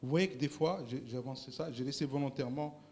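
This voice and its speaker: A male presenter lecturing a live audience, hesitant, often repeating words and filling pauses, asking the room questions. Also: medium pitch, background noise. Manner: addresses the audience directly with questions. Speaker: workshop presenter.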